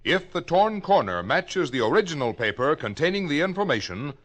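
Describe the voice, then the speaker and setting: Old time radio announcer man, american, kinda high voice, measured and formal, vintage broadcast. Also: big pitch swings, a bit bright. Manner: formal, measured, deliberate enunciation. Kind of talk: 1950 radio announcing, scripted.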